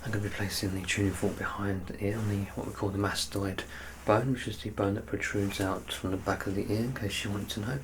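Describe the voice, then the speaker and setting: A man in an ASMR medical roleplay, playing a doctor who gives gentle, reassuring, soft instructions. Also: lowish voice, steady tone, brisk, hardly any pauses, slight background noise.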